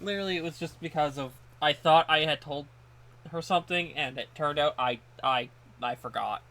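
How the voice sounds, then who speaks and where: A man's voice: fairly high pitch, moderate pace, sharp tone. A man co-hosting a podcast in casual chat, often repeating words as he gets a sentence going. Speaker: podcast co-host.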